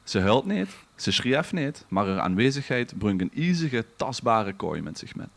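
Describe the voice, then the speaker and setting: Animated Limburgish man telling a dramatic tale. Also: medium pitch, moderate pace, gravelly. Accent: Limburgish Dutch. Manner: dramatic, bantering with co-hosts. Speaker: podcast co-host. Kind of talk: theatrical storytelling from a script.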